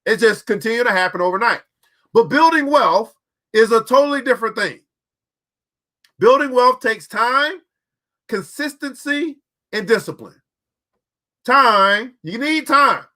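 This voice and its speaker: A man's voice: extremely high pitch, expressive, measured pace, long pauses, bright, forceful tone. A man in his forties hosting a show, giving a preachy motivational talk about money and repeating phrases for emphasis. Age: 40s. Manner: preachy, repeats phrases, talks straight to the audience. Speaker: podcast host.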